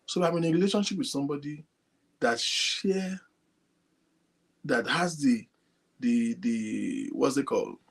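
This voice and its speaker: A man hosting an online talk show, speaking softly and addressing his viewers directly. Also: medium pitch, a little slow, vocal fry, long pauses. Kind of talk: casual talk to a live audience. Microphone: phone linked to a computer.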